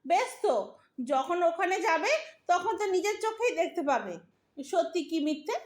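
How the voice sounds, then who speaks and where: A woman playing an exasperated, sharp-tongued young married daughter in an audio drama, bossy and impatient, with a Bengali accent. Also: very high voice, expressive, normal speed. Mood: teasing impatience. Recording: roomy, echoing audio drama recording.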